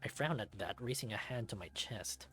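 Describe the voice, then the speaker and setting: A man's voice: lowish voice, moderate pace, a bit bright. A man reading first-person narration from a visual novel as a scripted read. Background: music.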